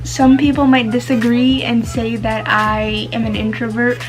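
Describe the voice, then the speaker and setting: A woman's voice: on the high side, level tone, measured pace, music in the background. A teenage girl vlogging casually to camera, chatty and full of fillers, whose first language is Spanish. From United States. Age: teens.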